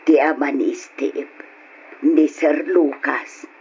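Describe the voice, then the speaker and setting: An actress playing a gentle older mother speaking to her daughter in an old-style radio drama. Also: deep voice, steady tone, slow pace, slightly rough, exaggerated voice. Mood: gentle, coaxing. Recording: dull, noisy old radio drama recording.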